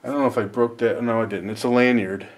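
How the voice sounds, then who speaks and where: A man's voice: medium pitch, fairly even intonation, fast talker, noisy background. A man giving a casual, rambling, self-deprecating unboxing and review as the host of a video.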